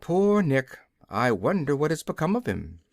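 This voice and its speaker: Man doing a storybook scarecrow, earnest n kinda boastful, good natured, lively up and down pitch. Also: moderate pace, vocal fry, no echo, clean studio sound. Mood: sad. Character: self-satisfied, good-natured male scarecrow, proud of his brains.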